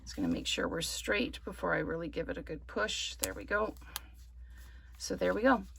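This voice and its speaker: Woman, slightly croaky, lowish voice, casual craft tutorial, rambling, indoors. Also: moderate pace, slight background noise. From Canada. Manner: relaxed, rambling with many ums. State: slightly croaky from wildfire smoke. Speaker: card-making demonstrator.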